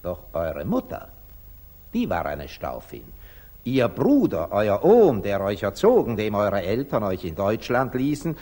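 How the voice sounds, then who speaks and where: A wise old father with a low, husky voice. He speaks slowly and gravely but with expressive swings, in the stage style of an old 1940s radio drama. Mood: grave revealing. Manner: measured, deliberate, grave.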